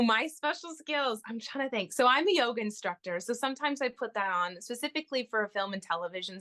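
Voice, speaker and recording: A woman's voice: mid-range voice, a bit bright. A young woman from Florida, a touring musical theater performer, chatting as a guest in an interview and laughing often. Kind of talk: casual interview chat.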